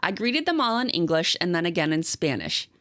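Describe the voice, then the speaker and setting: A woman co-hosting a podcast, chatting casually and reading online stories aloud in a steady storytelling way. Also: lowish voice, brisk, no room sound, clean studio sound. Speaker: podcast co-host.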